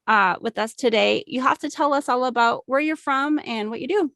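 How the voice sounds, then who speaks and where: A woman's voice: high-pitched, normal speed, fairly bright tone, dry recording, studio quality. A woman hosting a podcast, asking her guest plain, friendly questions. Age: adult. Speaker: podcast host. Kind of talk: podcast interview.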